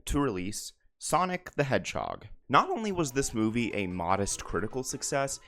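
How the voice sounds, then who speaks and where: A man's voice: medium pitch, wildly swooping pitch, moderate pace, fairly bright tone, faint music behind. A man narrating a video essay in an explanatory, conversational way with emphatic asides. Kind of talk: narrated video essay, semi-scripted commentary.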